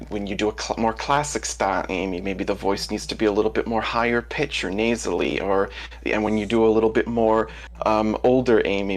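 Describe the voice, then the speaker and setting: A man, a self-described voice acting fan, asking a question on a live stream, eager and rambling with many restarts. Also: lowish voice.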